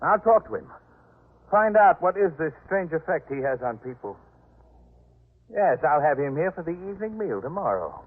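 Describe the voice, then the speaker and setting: An actor playing a proud, disdainful master of a biblical household in a 1950s radio drama, with haughty, emphatic delivery. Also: male voice, on the high side, sing-song, normal speed, husky. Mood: haughty, commanding. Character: proud, indignant, disdainful male head of household in biblical times. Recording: muffled narrowband 1950s radio recording.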